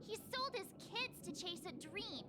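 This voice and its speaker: Casting: small judgmental game creature, piercingly high, firm and blunt, under loud ambience. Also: female voice, sing-song, moderate pace, very noisy. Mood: indignant, stern. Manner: blunt, indignant, decisive. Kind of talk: scripted video game dialogue.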